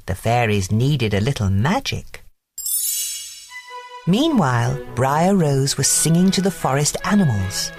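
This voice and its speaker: A woman reads a bedtime story for children in a low voice. Her delivery is expressive and very animated, rising and falling freely. Music plays under her narration. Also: measured pace. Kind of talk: scripted children's bedtime story read-aloud.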